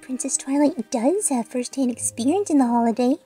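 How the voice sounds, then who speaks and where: A woman voicing a timid, sweet young girl with a nervous, soft-toned manner in a story reading. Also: high-pitched, fairly quick, husky, soft background music.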